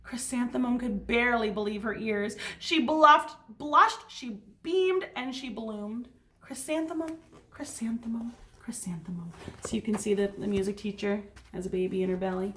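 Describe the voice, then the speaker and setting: High-voiced woman teacher reading to children. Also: normal speed, hardly any pauses. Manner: expressive, dramatic emphasis, addresses children directly. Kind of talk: animated children's read-aloud with asides and questions.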